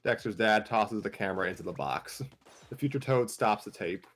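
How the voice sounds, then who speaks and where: Male narrator for an amateur comedy script, low register, reading scene action. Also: normal speed, fairly bright tone, faint music behind.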